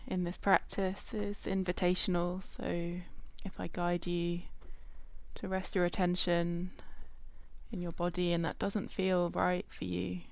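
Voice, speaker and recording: A woman leading a guided body scan meditation in a gentle, unhurried way, leaving long pauses between phrases. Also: on the low side, completely flat delivery, gravelly, no echo.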